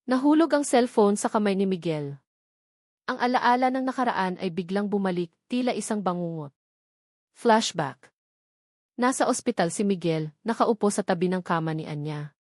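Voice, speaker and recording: A woman's voice: mid-range voice, expressive, moderate pace, a little mellow, no room sound, clean studio sound. A woman reading a scripted romantic drama as a story narrator. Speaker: narrator of an audio love story.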